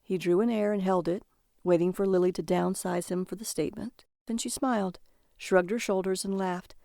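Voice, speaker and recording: Gravelly-voiced woman reading a story. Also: medium pitch, steady tone, normal speed, mellow, no room sound, studio quality. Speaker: story reader. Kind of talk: scripted narration of a dark comic tale.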